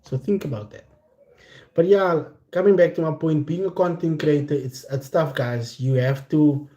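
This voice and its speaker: A man from Cape Town with a Cape Flats accent, chatting casually and candidly to his livestream viewers in slangy, unscripted talk. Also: on the high side, moderate pace. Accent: Cape Flats South African. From Cape Town, South Africa. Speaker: content creator hosting a chat livestream. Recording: roomy, echoing sound.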